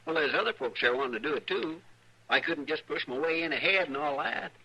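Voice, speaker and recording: An actor playing an eager, admiring frontier deputy in a radio western, with a rural Western American accent and a rambling, deferential way of talking. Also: male voice, fairly high pitch, animated, brisk, gravelly. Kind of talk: old-time radio western acting. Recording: noisy, crackly old radio recording.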